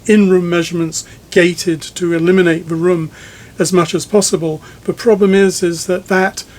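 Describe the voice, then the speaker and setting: A man with a noticeably high-pitched voice leads a technical interview. He talks conversationally, choosing his words thoughtfully and pausing mid-phrase as he builds each question. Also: level tone, a little mellow. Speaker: magazine editor interviewing a speaker designer. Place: listening room in a home.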